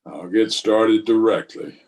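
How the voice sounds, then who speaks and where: A man playing a calm, plain-spoken frontier marshal in a radio western, measured and laconic. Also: on the low side, a little hiss or hum. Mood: matter-of-fact. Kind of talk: old-time radio western acting.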